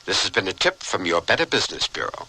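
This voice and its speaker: A man's voice: sits low, very animated, fast talker, very noisy. A man reading a scripted radio public service announcement, as an advisory announcer.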